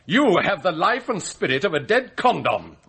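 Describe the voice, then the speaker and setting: An English butler, proud and bitter, in a radio play. His voice is husky and swings very widely in pitch. He speaks in ornate, formal sentences like a passionate idealist with a scheme. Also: big pitch swings, normal speed, bright, forceful tone, exaggerated voice. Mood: scornful. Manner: formal, ornate, theatrical phrasing. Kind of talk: radio drama acting, monologue and dialogue.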